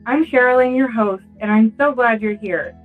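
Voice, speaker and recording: A woman's voice: a little high, moderate pace, narrow, tinny audio, faint music behind. A woman speaking as the host of an interview podcast. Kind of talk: scripted introduction and conversational interview.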